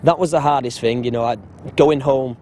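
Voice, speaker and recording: A man's voice: medium pitch, a little fast, warm tone, slight background noise. A man in his twenties with a Mancunian accent, an actor telling joking, rambling anecdotes in a casual interview. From Salford, Manchester. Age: 20s.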